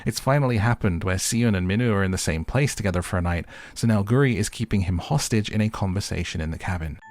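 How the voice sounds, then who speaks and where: A man's voice: lowish voice, quick pace, darkish tone. A man narrating an opinionated show recap as host, with wry, exasperated commentary and music underneath.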